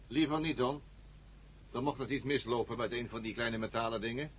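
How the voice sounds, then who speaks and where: An actor in a 1970 radio drama playing a gruff, wary space crewman. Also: medium pitch, fairly even intonation, brisk, a bit bright, no room sound. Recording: muffled old radio recording, clear hiss.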